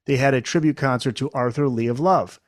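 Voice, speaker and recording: A man's voice: mid-range voice, fairly quick, no echo, studio quality. A middle-aged man interviewing in a casual, conversational way as a podcast host. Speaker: podcast host, former radio presenter.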